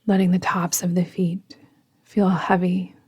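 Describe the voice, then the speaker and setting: A woman guiding a yoga nidra meditation in a soothing, gentle, deliberate manner, often trailing off into a whisper. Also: fairly low pitch, little pitch movement, husky. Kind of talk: guided meditation narration.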